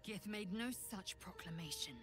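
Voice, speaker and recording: A woman's voice: medium pitch, a little slow, a bit bright, husky, faint music behind. An actress voicing a proud, harsh female githyanki warrior in a video game, speaking in a clipped, grave, disdainful way.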